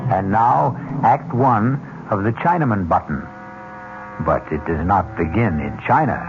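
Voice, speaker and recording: Male host, dramatic scripted narration, lowish and animated, dull noisy vintage radio. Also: a little slow, over music.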